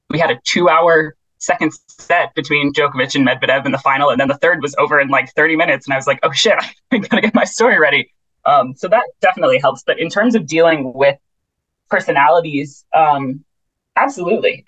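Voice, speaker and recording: A woman's voice: sits low, fairly bright tone, dry recording. Chatty woman on a dull dry line. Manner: chatty, quick, with frequent restarts and laughs. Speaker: tennis and basketball beat reporter. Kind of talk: casual interview chat.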